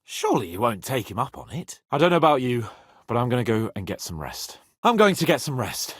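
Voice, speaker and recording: An actor voicing a proud young nobleman knight in a video game, haughty, flippant and expressive, given to grumbling and swearing. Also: medium pitch, a little fast, husky, no echo, studio quality. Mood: weary, sullen.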